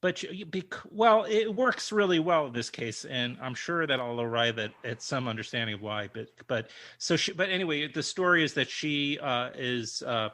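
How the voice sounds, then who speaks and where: A man's voice: medium pitch, moderate pace, few pauses, pushed and bright. A man hosting a podcast interview over a video call, rambling and often restarting his questions. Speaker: podcast host. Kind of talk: casual interview chat.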